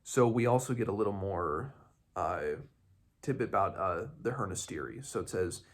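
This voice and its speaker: Very deep, creaky-voiced man, talking haltingly. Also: animated. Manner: halting, searching for words, self-correcting. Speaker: host of a book read-along. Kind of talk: casual book discussion with some read-aloud passages.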